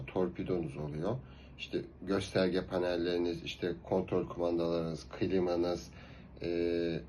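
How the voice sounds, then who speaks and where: A man's voice: fairly low pitch, dark tone, a little hiss or hum. A man talking casually and explaining gear to camera in a conversational vlog style. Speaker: motorcycle vlogger.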